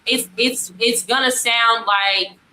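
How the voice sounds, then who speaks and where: A woman's voice: a little high, steady tone, slow, pushed and bright. A woman arguing her point in casual debate, insistent and explanatory. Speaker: podcast guest. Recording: roomy echo, faint background noise.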